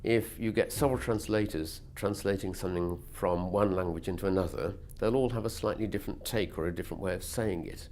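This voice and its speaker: A man's voice: deep voice, brisk, hardly any pauses, a little mellow, slight background noise. A man giving a considered explanation of his work in an interview. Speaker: artist. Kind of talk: interview talk.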